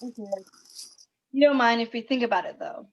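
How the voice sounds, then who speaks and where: Tender wife, acted by a woman, higher-pitched, supportive, screenplay drama, muffled video-call sound. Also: a little fast, lightly acted. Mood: playful, intimate. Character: affectionate, supportive wife on a late-night phone call. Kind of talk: screenplay table read.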